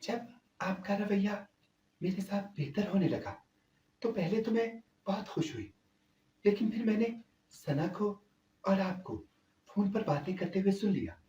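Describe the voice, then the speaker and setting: A man voicing a devoted, hurt young wife who confides quietly and gently in her husband, in a storytelling recording. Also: sits high, expressive, measured pace, highs cut off, pitched above the performer's own voice. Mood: quiet, wounded. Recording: echoey, distant-sounding voice.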